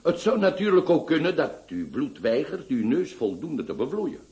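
An actor playing a pompous, eccentric doctor who lectures and scolds grandly in a radio play. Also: male voice, a little high, sing-song, moderate pace, darkish tone. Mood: lecturing. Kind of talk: radio drama acting.

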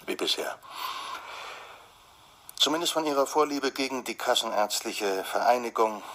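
A man's voice: on the low side, fairly bright tone. An actor playing a dry, sardonic male defence lawyer in a radio crime drama. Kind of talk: German radio drama, narrating and dialogue.

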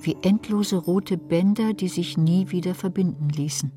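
An actress as the sober narrator of a radio crime drama, reading scripted prose. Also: female voice, fairly low pitch, normal speed, over music, lightly acted. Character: sober female narrator of a psychological crime story.

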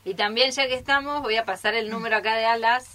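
A woman's voice: fairly high pitch, fast, pushed and bright. A woman with an Argentine accent chatting informally live in a radio studio. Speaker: radio theatre troupe member introducing the group.

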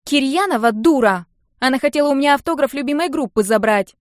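A female narrator puts on a scrappy schoolgirl for an audiobook. She is cheeky and whiny, drawling bratty slang in a raised, high voice, captured in a dry recording. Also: animated, no room sound. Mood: bratty, defiant. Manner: bratty, drawn-out slangy complaining.

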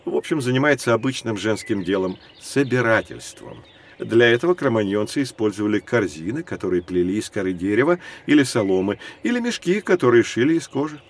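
A man's voice: medium pitch, lively intonation, brisk, natural voice. An actor playing a knowledgeable male professor who explains prehistory to children in a scripted educational audio drama. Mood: patient, explanatory. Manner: explanatory, lecturing. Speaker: educational guide.

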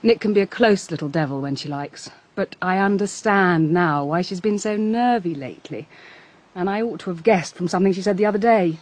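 A woman's voice: on the low side, fairly quick, darkish tone, close to an everyday voice. An actress playing a languid, brittle English society woman in a radio drama, offhand and drawling. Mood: guarded gossip.

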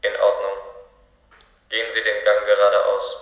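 An actor in a German radio play voicing an impersonal, officious clinic gatekeeper, clipped and commanding, heard as an intercom. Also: male voice, lowish voice, completely flat delivery, a little slow, a bit bright. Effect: intercom speaker with heavy echo. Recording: very echoey, dull intercom sound.

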